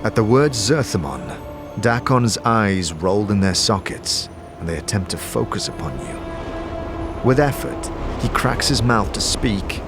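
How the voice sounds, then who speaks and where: A man narrating an audio drama in the second person, measured and solemn, like a dramatic fantasy tale. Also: lowish voice, expressive, music in the background.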